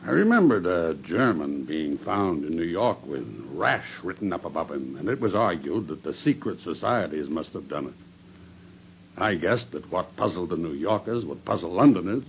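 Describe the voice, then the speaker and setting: An actor playing a grim, vengeful murderer making a deliberate, matter-of-fact confession in a radio drama. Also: male voice, low-pitched. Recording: dull radio drama recording with background noise.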